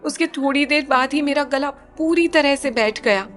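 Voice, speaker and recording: A woman voicing a tearful young actress, speaking in a hoarse, pleading, higher voice. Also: moderate pace, fairly bright tone, faint music behind. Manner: pleading, raised pitch. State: hoarse, crying.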